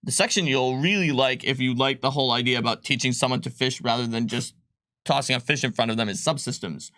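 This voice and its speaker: A man's voice: mid-range voice, quick pace, bright, forceful tone, no echo. A man chatting casually as a game designer answering viewer questions on a livestream, informal and rambling with jokey asides.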